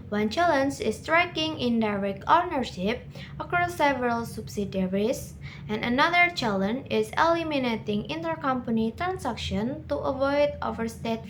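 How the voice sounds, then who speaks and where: A young woman with an Indonesian accent giving a careful, formal rehearsed slide presentation as a student. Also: a little high. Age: young adult. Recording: slight background noise.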